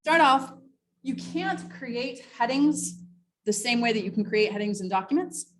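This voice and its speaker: A woman's voice: on the high side, expressive, measured pace, poor audio. Woman presenting an informal training workshop. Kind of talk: semi-scripted training presentation with informal asides.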